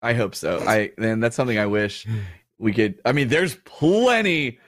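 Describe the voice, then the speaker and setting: A man's voice: medium pitch, sing-song, normal speed, a bit bright, no echo, studio quality. A man in his thirties, a show co-host, chatting casually on a livestream with frequent fillers and laughs.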